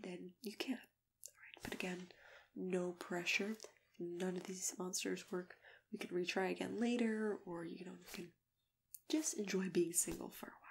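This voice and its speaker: A woman playing a kindly matchmaker in an ASMR roleplay, speaking softly and often slipping into a whisper. She is gentle, unhurried and reassuring at a middle pitch, with clear noise, clicks and typing in the recording. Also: natural voice.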